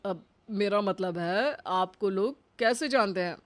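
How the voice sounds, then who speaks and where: A woman's voice: medium pitch, unhurried, close to an everyday voice. Office boss for comic cartoon, played by a woman: mid-range voice, polite, formal, exasperated. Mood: polite, puzzled. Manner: formal, increasingly flustered. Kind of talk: comic cartoon story acting.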